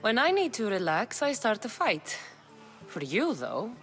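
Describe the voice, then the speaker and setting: A tough mercenary warrior woman in a video game, dry and blunt. Her mid-pitched voice still moves with lively inflection, with faint music underneath. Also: a little slow, heavily acted. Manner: dry, blunt, matter-of-fact. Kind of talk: video game cutscene acting.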